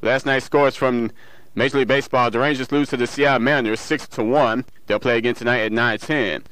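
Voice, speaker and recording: A man's voice: medium pitch, fairly even intonation, brisk, a bit bright, smooth, no room sound, rough recording. A man working as a radio newsreader and DJ, reading in a local broadcast style with occasional slips and self-corrections. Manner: stumbles and corrects himself while reading. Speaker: radio newsreader and music show DJ. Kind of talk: local radio news, sports and weather reading. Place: radio studio.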